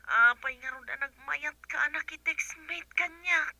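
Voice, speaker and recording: A pushy, lovestruck tomboy suitor with a piercingly bright, high voice and an Ilocano accent. She is insistent, in radio comedy-drama style. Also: a little slow, creaky, no room sound, exaggerated voice. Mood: pushy wooing.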